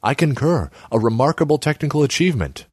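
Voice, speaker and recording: A man's voice: mid-range voice, very animated, fast, slightly rough, no echo, clean studio sound, voice pushed up. A man voicing a pompous, airy male politician who talks in wordy, self-righteous phrases in a story reading. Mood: approving.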